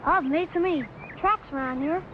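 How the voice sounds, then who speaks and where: A woman's voice: very high-pitched, fairly quick, phone-quality sound. A woman playing an eager, earnest young boy who dreams of exploring, in an old-time radio adventure. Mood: anxious. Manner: earnest, protesting, boyish. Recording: slightly noisy old radio recording.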